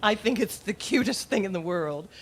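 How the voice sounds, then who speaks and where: A woman's voice: mid-range voice, lively intonation, normal speed, slight background noise, natural voice. A woman playing a wry English teacher with dry, sardonic quips in a radio comedy. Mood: breezy, wry. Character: wry, wisecracking female high school English teacher. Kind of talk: old-time radio comedy acting.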